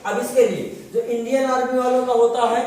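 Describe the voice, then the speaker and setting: A man's voice: very high-pitched, flat delivery, brisk, darkish tone. A man teaching a class, explaining step by step and asking and answering his own questions. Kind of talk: classroom lecture. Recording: distant, very echoey room.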